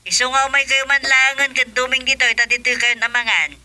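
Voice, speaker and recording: A woman's voice: high-pitched, fairly quick, pushed and bright, dry recording. A woman playing a chatty, teasing middle-aged mother in a radio comedy drama.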